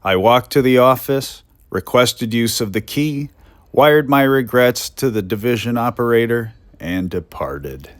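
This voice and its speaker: A man's voice: medium pitch, animated, a little slow, dry recording, lightly acted. An American man doing a dramatic, projected reading as a forlorn, indignant young railroad telegraph employee of the 1890s. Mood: wistful, indignant. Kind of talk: dramatic reading of an old letter.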